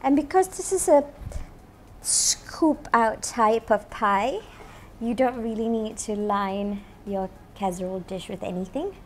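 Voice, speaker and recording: A woman's voice: fairly high pitch, a little slow, a little hiss or hum. Woman cooking show host, thirties, chatty and upbeat, lapel mic, faint room ambience, kitchen studio. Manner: chatty, upbeat, lots of "you know". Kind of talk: cooking demonstration to camera. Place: home-style kitchen studio, indoors.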